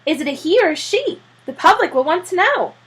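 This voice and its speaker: A brash young storybook newspaperman, put on by a woman reader. He is excited and nosy, with a high, bright voice that leaps around. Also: brisk, exaggerated voice. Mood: inquisitive. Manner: excited, pushy, inquisitive.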